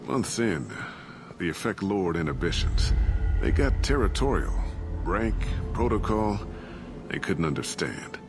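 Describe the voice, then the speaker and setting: A man's voice: deep voice, very animated, measured pace. A middle-aged man playing a disgraced, evasive ship captain and father in a video game, talking defensively and justifying himself. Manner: defensive, rationalizing. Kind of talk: dramatic video game voice acting. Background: cinematic background music.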